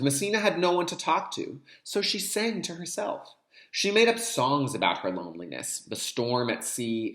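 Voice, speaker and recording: Male kindergarten teacher, instructional read-aloud style, addresses children directly, animated intonation, mid-range pitch, echoey room. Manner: addresses young listeners directly, repeats key terms. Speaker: kindergarten teacher reading a picture book aloud.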